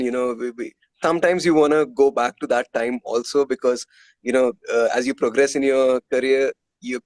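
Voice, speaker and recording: A man's voice: medium pitch, darkish tone, dry recording, rough recording. A man with an Indian accent answering interview questions as a musician, candid and conversational, often repeating words as he thinks. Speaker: musician guest, guitarist and composer in a metal band.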